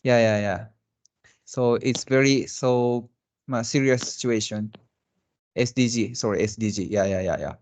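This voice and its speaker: A man speaks in an ordinary mid-range voice as he answers questions in an online webinar. His non-native English is halting and packed with fillers and restarts as he hunts for words. The sound is that of a plain video call. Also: medium pitch, a little mellow. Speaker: company representative presenting jobs in Japan.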